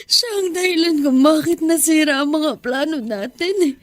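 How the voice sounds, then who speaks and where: An actress playing a grieving, bitter young daughter in a melodramatic radio drama, tearful and anguished. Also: female voice, high voice, moderate pace, dry recording, close to an everyday voice. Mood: bitter grief.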